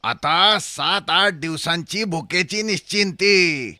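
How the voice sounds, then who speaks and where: An actor playing a fearsome, hungry wolf in a children's cartoon story, gloating in a gravelly voice. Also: male voice, high-pitched, slow, bright, forceful tone, no echo, full character voice. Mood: greedy, gloating.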